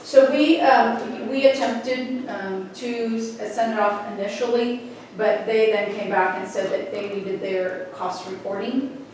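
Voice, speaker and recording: A woman giving an informal, somewhat halting update to a board at a meeting. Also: fairly high pitch, fairly even intonation, a little mellow. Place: indoor public board meeting room. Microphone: distant room microphone. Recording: very echoey room, distant mic, noisy.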